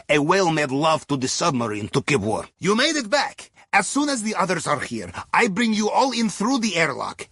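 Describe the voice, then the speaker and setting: An actor playing a jovial, shrewd submarine captain with a Russian accent, guiding a heist crew in droll, conversational video game dialogue. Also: male voice, sits high, bright, forceful tone, slightly rough, no echo, clean studio sound, exaggerated voice. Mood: wry, advising, then encouraging, relaxed. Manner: droll, conversational, dropping articles. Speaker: heist mission handler.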